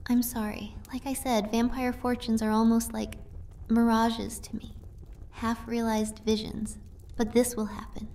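Woman acting a soft, creaky vampire fortune-teller. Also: a little high, flat delivery, measured pace. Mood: hushed, entranced. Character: mysterious young female vampire fortune-teller from the East. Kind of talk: fantasy audio drama roleplay. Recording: music behind the voice.

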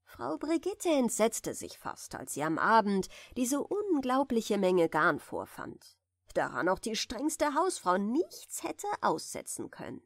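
A woman reading a fairy tale softly and soothingly as a bedtime audiobook narrator, in a measured way. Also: a little high, very animated, dry recording, studio quality. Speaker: audiobook reader of fairy tales.

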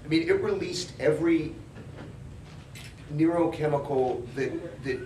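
A man from Canada telling humorous anecdotes as a voice actor on a convention panel, in an animated, halting way. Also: a little high. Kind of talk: humorous anecdote, casual chat. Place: convention panel room, indoors, far camera. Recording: echoey distant room recording with crowd noise.

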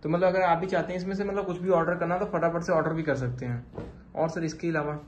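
Guy doing promo host patter, high voice, talks fast, repeats himself, echoey room. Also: fairly even intonation. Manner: repetitive salesman patter. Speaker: host interviewing a manufacturer. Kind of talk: casual promotional product video. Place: indoors in a garment factory and bare room. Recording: echoey room, faint background noise.

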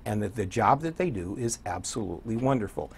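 An older American man with a husky voice, speaking as a radio DJ guest in a conversational studio interview. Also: fairly low pitch, lively intonation, brisk, a little hiss or hum. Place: small indoor TV studio.

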